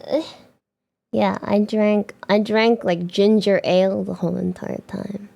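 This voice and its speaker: Slow-talking young woman with vocal fry. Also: mid-range voice. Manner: chatty, rambling, frequent fillers and restarts; bubbly outbursts of excitement. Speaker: streamer. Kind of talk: casual chat to stream viewers.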